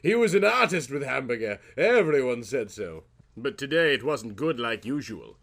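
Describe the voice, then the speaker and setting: Bright-voiced man narrating with mock-serious drama. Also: on the high side. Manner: mock-serious, florid, theatrical. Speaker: story narrator. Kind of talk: dramatic comic audiobook narration.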